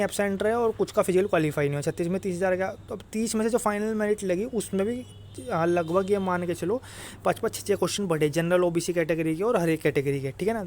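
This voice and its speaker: A man's voice: high-pitched, darkish tone. A young man explains things informally to students as an exam coach, repeating himself, checking in with tag phrases and mixing in English words.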